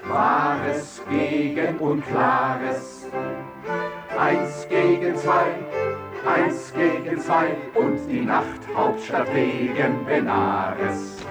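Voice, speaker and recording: An actor playing a solemn chorus voice, half singing poetic lines as a chant in a radio play. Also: male voice, a little high. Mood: solemn, incantatory. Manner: very slow half-sung chanting. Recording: roomy, distant, under loud music.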